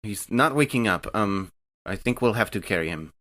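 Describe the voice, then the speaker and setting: Lowish, bright-edged male game player. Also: lively intonation, moderate pace. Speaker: role-playing game player. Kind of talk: casual in-game chat and role-play.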